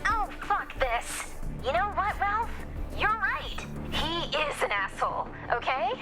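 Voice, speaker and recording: A woman's voice: very high-pitched, very animated, takes it slowly, exaggerated voice. A woman playing a fierce, blunt, foul-mouthed female rogue in an emphatic video game performance. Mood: scornful, angry. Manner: sharp-tongued, emphatic, exasperated. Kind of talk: animated video game cutscene acting. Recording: loud music behind the voice. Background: music.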